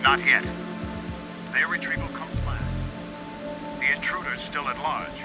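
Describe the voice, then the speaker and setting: Cold, measured villain played by a man. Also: fairly high pitch, fairly even intonation, loud music behind the voice, close to an everyday voice. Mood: cold, businesslike. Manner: measured, deliberate, authoritative. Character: cold, controlled male terrorist leader. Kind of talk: video game voice acting.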